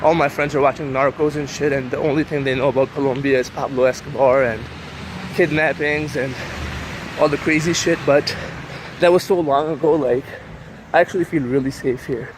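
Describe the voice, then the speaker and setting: A man's voice: mid-range voice, fairly even intonation, a little fast. A young man whose first language is Urdu, talking casually in a rambling way with lots of fillers while walking and filming himself on a city street. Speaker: vlogger. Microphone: handheld camera while walking. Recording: street traffic noise behind.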